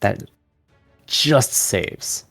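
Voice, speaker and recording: A man's voice: drawn-out words, soft background music. A man narrating combat as the game master of a tabletop game. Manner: descriptive, steady narration of combat.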